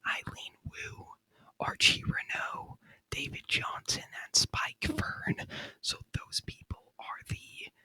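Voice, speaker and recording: A man speaking in a breathy whisper, chatting casually in ASMR style and softly repeating words, close to the microphone. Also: slow, dry recording. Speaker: ASMR video creator reviewing a movie.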